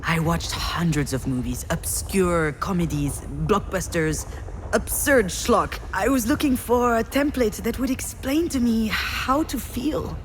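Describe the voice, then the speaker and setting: An actress playing a grieving, reflective screenwriter who confides her story in measured, intimate first-person narration for a video game. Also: medium pitch, lively intonation, a little hiss or hum, close to an everyday voice. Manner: measured, introspective, pausing between phrases. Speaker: narrator of a visual novel.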